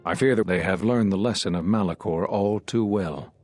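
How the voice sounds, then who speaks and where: An actor playing a solemn, regretful Jedi master in a video game, speaking in a measured, sombre and earnest way. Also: male voice, on the low side, darkish tone, faint music behind, lightly acted. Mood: troubled. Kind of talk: dramatic video game dialogue.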